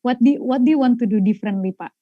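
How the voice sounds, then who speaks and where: A woman's voice: on the high side, quick pace, dry recording, narrow, tinny audio. A woman moderating a webinar Q&A on a video call, friendly and polite, laughing easily.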